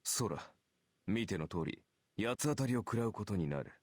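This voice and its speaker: A man's voice: fairly low pitch, animated, a little mellow, husky, no echo. A man acting a cynical, confident warrior archer in a visual novel, with cool, sardonic delivery. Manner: cool, sardonic, deliberate phrasing. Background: game music.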